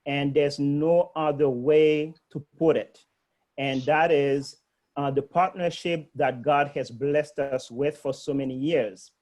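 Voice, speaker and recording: A man's voice: fairly high pitch, steady tone, takes it slowly, darkish tone. A middle-aged man from Haiti, a priest, earnestly thanking a church outreach team, with many ums and uhs.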